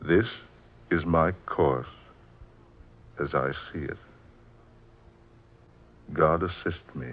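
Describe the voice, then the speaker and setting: A man's voice: rumbling low, breathy edge, full character voice. An actor playing an awed, earnest man recounting an eerie sea adventure in theatrical 1940s radio drama style. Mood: solemn reading. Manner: deliberate, theatrical, formal. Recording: muffled old radio broadcast with music.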